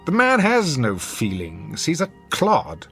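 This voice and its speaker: Haughty, sardonic rich husband, wildly swooping delivery. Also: male voice, fairly high pitch, wildly swooping pitch, slow pace, gravelly, a bit bright, soft background music, full character voice. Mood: disdainful. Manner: haughty, sardonic, formal diction. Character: sneering, domineering wealthy husband and employer, cruelly sarcastic. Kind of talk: classic radio drama acting.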